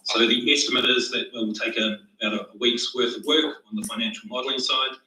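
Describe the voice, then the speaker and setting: A man's voice: mid-range voice, steady tone, a little fast, pushed and bright. A man, a project manager briefing a council meeting, hesitant and full of fillers. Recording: roomy, echoey, distant from the mic.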